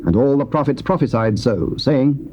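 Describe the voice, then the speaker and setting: A man narrates a Bible story formally, with lively, varied intonation at medium pitch. The sound is a dull old tape with clear hiss. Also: moderate pace. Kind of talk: scripted dramatic Bible narration. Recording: muffled, noisy old cassette.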